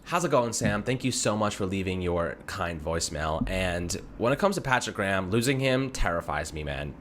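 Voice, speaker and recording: A man's voice: low-pitched, animated, moderate pace, slight background noise. A man hosting a sports show, giving casual fan commentary in an informal, conversational way, talking to callers like friends.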